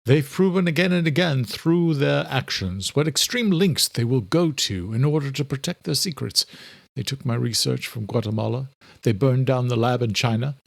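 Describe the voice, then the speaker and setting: A man's voice: medium pitch, animated, normal speed, vocal fry, dry recording, voice pushed up. A man voicing a wry, determined doctor of science in a horror audiobook.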